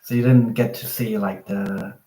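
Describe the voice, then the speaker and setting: A man's voice: on the low side, moderate pace. A man from Thailand, chatting casually on a video call through his phone, with lots of fillers. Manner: relaxed, chatty, many fillers like "like" and "you know". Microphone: phone on a video call, no external mic. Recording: roomy video call audio.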